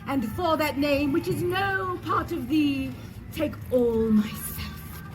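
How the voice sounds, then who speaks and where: A woman's voice: high-pitched, slow pace, over music. A woman performing as a dramatic, declaiming heroine in a comic stage play. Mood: yearning, theatrical. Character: dream woman playing a swooning Juliet and a pestering student. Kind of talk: theatrical one-act stage comedy acting. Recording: noisy live stage recording.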